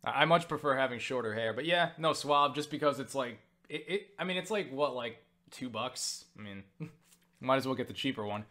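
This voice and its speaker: A young man answering viewer questions in a casual vlog, rambling with lots of fillers and restarts, swearing offhand and getting animated when excited. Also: mid-range voice, brisk.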